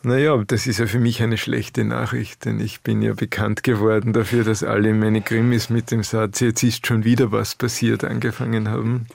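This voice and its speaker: Gravelly Austrian man, dry and laconic. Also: on the low side, little pitch movement, moderate pace, hardly any pauses, darkish tone, no room sound. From Austrian Alps. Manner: dry, laconic, chuckles often. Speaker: author guest. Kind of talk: relaxed interview talk.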